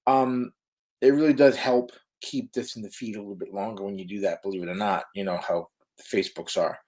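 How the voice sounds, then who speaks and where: A man's voice: lowish voice, steady tone, fairly quick, darkish tone. A man teaching emergency medical crews in a casual live stream, chatty, with lots of fillers and "right?" tag questions. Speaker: EMS educator.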